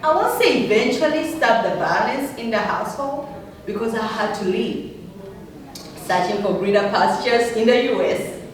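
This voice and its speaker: Earnest woman speaker, emphatic storytelling, heard from far in a booming hall. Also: lively intonation, moderate pace. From Uganda. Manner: earnest, emphatic, rising passionately on key words. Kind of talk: personal story speech.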